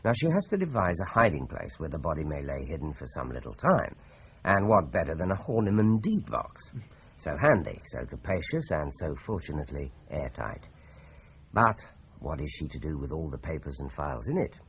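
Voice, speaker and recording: An actor playing a methodical British police inspector in a radio drama, explaining things in a deliberate way. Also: male voice, very deep voice, sing-song, muffled recording, slight background noise, heavily acted. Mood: explaining, relishing. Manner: deliberate, expository. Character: measured, methodical male police inspector explaining a murder case.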